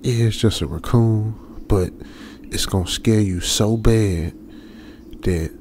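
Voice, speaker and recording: A man playing a jittery stranded young trucker in a radio drama, muttering to himself in halting, slangy speech. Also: on the low side, a little mellow, a little hiss or hum. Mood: nervous, self-reassuring. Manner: halting, muttering, colloquial slangy self-talk. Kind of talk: ASMR old-school radio drama monologue.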